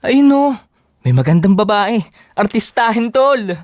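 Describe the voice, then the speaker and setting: A man's voice: sits high, animated, a little slow, no echo, studio quality. A young man in an audio drama playing a jokey, slangy buddy who teases and nags his friends. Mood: excited, whiny. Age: young adult. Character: playful, nagging young male buddy.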